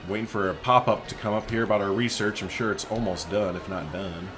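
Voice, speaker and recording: A man's voice: on the low side, fairly even intonation, fairly quick, over music. A man giving casual, chatty gameplay commentary as a let's play host, thinking aloud informally as he goes.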